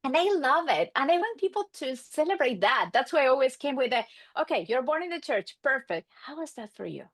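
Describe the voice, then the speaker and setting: A middle-aged woman with an Argentine Spanish accent talks as an interview guest. Her voice sits high and swings widely in pitch as she casually tells stories and voices bits of dialogue. The sound is close and dry. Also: brisk, no echo. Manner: animated, acts out quoted exchanges. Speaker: guest, marriage and family therapist.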